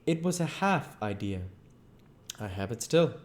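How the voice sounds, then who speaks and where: A man's voice: mid-range voice, very animated, a little slow, a little mellow. A man voicing an arrogant, bitter, volatile scientist on the run in a storybook, declaiming emphatically and indignantly. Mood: eager, boastful.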